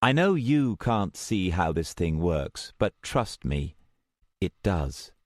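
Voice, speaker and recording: A man's voice: deep voice, sing-song, takes it slowly, no room sound, clean studio sound, exaggerated voice. A man playing an exasperated, protective man in an audiobook story, insistent and reasoning with a snarky streak. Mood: reassuring, snarky. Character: exasperated, protective man who can see the future.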